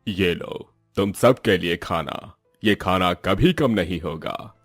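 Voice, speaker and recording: A man voicing a magical apple house, a calm and generous wish-granter in an animated moral story. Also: deep voice, takes it slowly, husky, no room sound, studio quality, soft background music, pitched below the performer's own voice, heavily acted. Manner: calm, benevolent. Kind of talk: cartoon moral story dialogue.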